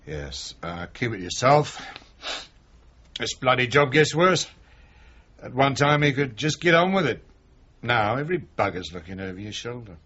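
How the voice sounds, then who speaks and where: An actor playing a gruff, blunt, middle-aged chief inspector in a radio crime drama, weary and disgruntled, clipped in his speech. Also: medium pitch, very animated, normal speed, a bit bright. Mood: weary, grumbling. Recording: muffled 1980s radio drama recording.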